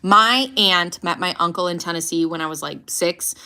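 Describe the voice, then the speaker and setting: A woman's voice: lowish voice, moderate pace, sharp tone. A woman in her late thirties hosting a casual livestream, chatting loosely and swearing freely. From United States. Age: 30s. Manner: chatty, swearing, laughing often. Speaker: livestream host. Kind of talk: casual livestream chat reading comments.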